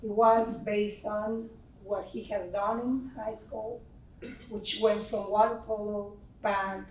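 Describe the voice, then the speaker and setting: A woman's voice: medium pitch, flat delivery, slow pace, darkish tone, slight background noise. A woman in her forties from Mexico City with a Mexican Spanish accent, speaking as a grateful parent from the audience in a chatty way. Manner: chatty, grateful, laughing often. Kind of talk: spontaneous audience remarks. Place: large meeting room. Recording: echoey room, voice from far off the mic.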